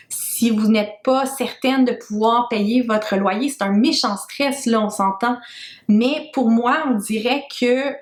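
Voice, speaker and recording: A woman's voice: medium pitch, roomy sound. A woman in her thirties with a Québécois French accent, chatting frankly to camera in a casual vlog, with plenty of fillers. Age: 30s. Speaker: freelancer vlogger. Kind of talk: casual talking-head vlog. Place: home room with makeshift lighting.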